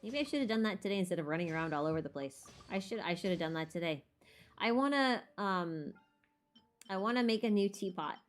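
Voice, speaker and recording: A woman's voice: medium pitch, animated, fairly quick. A woman livestream host chatting casually about a game, thinking aloud in an informal way. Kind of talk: casual chat, game lore rambling. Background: background music.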